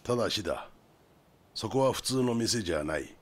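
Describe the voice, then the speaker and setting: Knowing middle-aged underworld type, deep voice, rough colloquial delivery, crime game drama. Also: male voice, expressive, moderate pace. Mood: knowing, sly. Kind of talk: Japanese video game cutscene acting.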